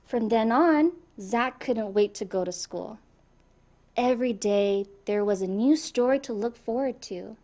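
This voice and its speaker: Woman, high-pitched, slow-paced, expressive children's read-aloud, speaks to young listeners. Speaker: storybook reader.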